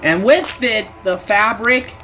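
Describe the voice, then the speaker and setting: A man reading a creepypasta aloud, slowly and haltingly, often restarting words and stepping out of the story to talk to his listeners. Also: sits high, expressive, bright, forceful tone, soft background music. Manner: slow, halting reading with frequent restarts and self-corrections; breaks off to address listeners with casual asides. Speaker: creepypasta reader.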